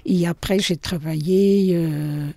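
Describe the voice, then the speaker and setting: An older woman from Portugal with a Portuguese accent, interviewed in her small shop, speaking slowly and hesitantly with many fillers. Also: on the low side, fairly even intonation, gravelly, warm tone, dry recording. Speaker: shopkeeper.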